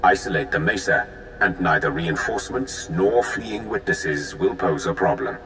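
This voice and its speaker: Game villain's loyal advisor: grave, formal Sith lord, very low voice over music. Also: male voice, lively intonation, normal speed, a bit bright, low-quality recording. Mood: solemn, formal. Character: solemn, loyal male Sith lord serving as tactical advisor. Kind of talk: video game voice acting. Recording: music behind the voice.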